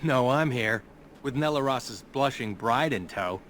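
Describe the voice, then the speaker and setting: A good-natured young groom with a wry touch, acted by a man in a fantasy video game. His voice is of medium pitch, with faint ambient noise around it. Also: lively intonation, unhurried, close to an everyday voice. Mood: wry, reassuring. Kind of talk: video game dialogue acting.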